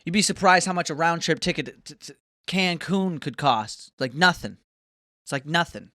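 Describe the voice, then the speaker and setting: A man's voice: fairly high pitch, expressive, moderate pace, bright, forceful tone, no room sound, clean studio sound. A man in his twenties ranting casually to camera, swearing often and wandering between mocking asides. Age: 20s. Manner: profane, rambling, swears often. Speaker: vlogger.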